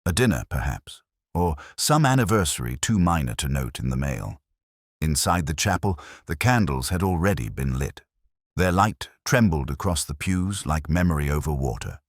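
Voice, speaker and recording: A man playing a weary, reflective older police detective, narrating a detective drama in a measured, literary, melancholic way. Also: a bass voice, very animated, no echo, clean studio sound, heavily acted. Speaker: first-person narrator.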